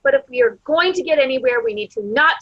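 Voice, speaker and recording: A woman playing a patient, placating grief counselor with a creaky, raspy voice, on a dull, muffled microphone. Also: sits high, expressive, quick pace, a bit bright, dry recording. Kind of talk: staged reading of a play.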